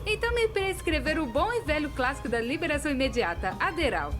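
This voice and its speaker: A Brazilian woman voicing a chatty, self-deprecating female animator in an expressive, conversational scripted narration. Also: high-pitched, fast. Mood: chatty, candid. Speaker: voice actor in a fan dub. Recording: music behind the voice. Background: music.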